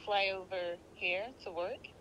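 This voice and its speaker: A woman's voice: mid-range voice, flat delivery, a little slow, fairly bright tone. A woman speaking politely and a little hesitantly in a casual phone conversation as a receptionist. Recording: phone call with faint background noise.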